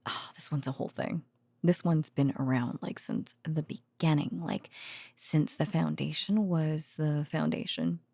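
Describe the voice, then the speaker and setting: Woman voicing a tired loving girlfriend, husky and creaky, hesitant, whispery, close dry bedtime ASMR roleplay. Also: sits low, animated, dark tone, no room sound, heavily acted. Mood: sleepy, musing. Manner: hesitant, halting, sighing, drifting into whispers. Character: tender, worn-out female researcher girlfriend confiding at bedtime.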